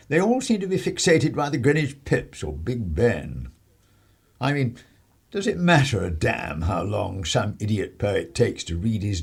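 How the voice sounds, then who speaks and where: A man's voice: on the low side, creaky, a little mellow, husky, exaggerated voice. A man playing a pompous, scornful Conservative army captain from 1930s England in a radio play, with an English accent. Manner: pompous, blustering.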